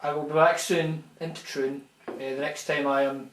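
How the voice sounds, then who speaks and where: A man puts on a deadpan caller claiming to be a famous fighter, speaking with a Scottish accent. His voice sits a little high and he keeps a straight, mock-serious tone through absurd boasts. The sound carries an echoey room. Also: moderate pace, close to an everyday voice. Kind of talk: comic phone-in bit.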